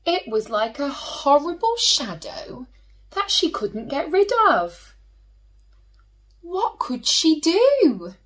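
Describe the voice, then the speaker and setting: A woman who teaches and hosts story time, reading a picture book aloud to children in an expressive way, drawing out key words and dropping into hushed asides. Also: sits high. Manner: slow, animated storytelling with drawn-out emphasis and hushed asides.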